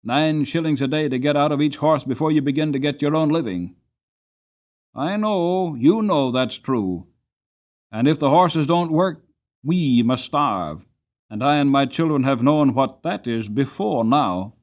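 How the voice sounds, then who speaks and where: A man's voice: a little high, lively intonation, unhurried, a little mellow, pitched above the performer's own voice. A man voicing a shabby, desperate London cab driver in an audiobook, complaining in an impassioned, emphatic way. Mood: desperate, aggrieved. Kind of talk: dramatic audiobook character reading.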